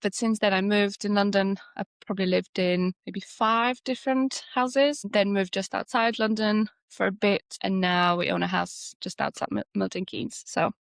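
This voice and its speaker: Chatty Slovenian-born woman with a gravelly edge. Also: medium pitch, fairly even intonation, normal speed, few pauses, no echo. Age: 30s. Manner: chatty, rambling with restarts. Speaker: architect, guest. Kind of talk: conversational interview.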